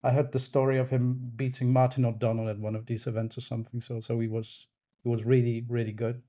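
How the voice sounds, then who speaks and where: Male interview guest, 30s, native Polish speaker. Warm, relaxed timbre, thoughtful and self-effacing, feels his way through answers. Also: medium pitch, fast talker. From Poland. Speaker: podcast guest, snooker referee.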